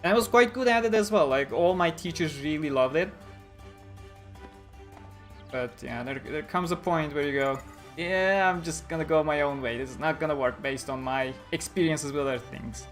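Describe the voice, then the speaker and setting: A man's voice: fairly high pitch, normal speed, a bit bright, faint music behind. A man from Turkey with a Turkish-accented English, chatting casually and rambling as a livestream host while reading chat. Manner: rambling, reflective, frequent restarts.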